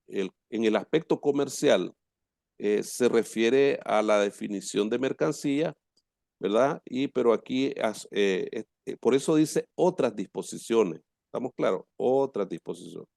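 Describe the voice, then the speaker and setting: A man with a Nicaraguan accent giving an informal class lecture in a halting way, repeating words and checking that students follow. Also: mid-range voice, animated, creaky, a little mellow, no room sound, studio quality. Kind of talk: informal class lecture, explaining and reading out terms.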